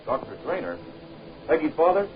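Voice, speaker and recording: An actor playing a young, confident American adventure hero in a 1930s film serial, speaking in a direct, matter-of-fact way. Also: male voice, fairly high pitch, very animated, moderate pace. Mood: alert, urgent. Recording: dull 1930s film soundtrack, faint music.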